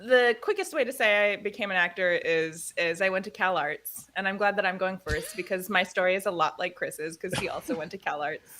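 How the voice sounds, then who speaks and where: A woman's voice: on the low side, fairly quick, hardly any pauses, pushed and bright. A woman in her thirties chatting in an interview as a guest, talking at length and laughing often. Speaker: guest, actor and producer. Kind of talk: casual interview chat.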